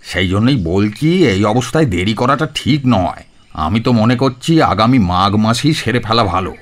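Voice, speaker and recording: A man's voice: lowish voice, lively intonation, moderate pace, close to an everyday voice. A man playing a pious, pompous, insistent elder brother in an audio story, nagging and scolding. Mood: reading aloud gravely.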